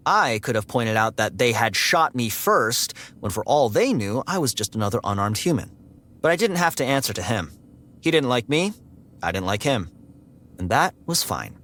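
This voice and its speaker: A sardonic security android, narrates an audiobook drama in a lowish voice. The delivery is dry and guarded, yet the pitch moves around freely, with some clear ambient noise underneath. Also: male voice. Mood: sardonic, irritated. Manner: deadpan, dry, sarcastic. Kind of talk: first-person audiobook narration and dialogue.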